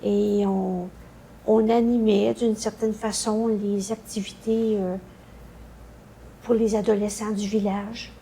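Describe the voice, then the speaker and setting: Slow, hesitant older Quebec woman, mellow voice. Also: mid-range voice, level tone, a little hiss or hum, rough recording. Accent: Quebec French. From Canada. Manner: hesitant, halting, searching for words. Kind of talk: unscripted personal recollection.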